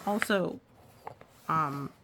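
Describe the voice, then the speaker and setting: A woman's voice: deep voice, extremely slow, noisy background. A woman reading a story aloud, with reassuring, musing, chatty asides as if to children. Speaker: storytime reader.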